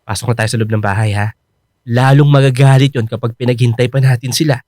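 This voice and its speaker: Casting: male actor for earnest, easily exasperated boyfriend and son, medium pitch, radio drama, close dry sound. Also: brisk, no echo, lightly acted. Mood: reassuring. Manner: expressive, protesting, reassuring. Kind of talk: Filipino radio drama acting.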